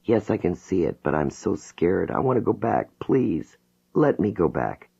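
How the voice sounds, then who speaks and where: A man's voice: low voice, big pitch swings, moderate pace, gravelly, mellow, husky, full character voice. A man reading an audiobook voices a frightened woman in a hypnotic trance, pleading and scared. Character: terrified young woman reliving her birth. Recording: dull lo-fi recording.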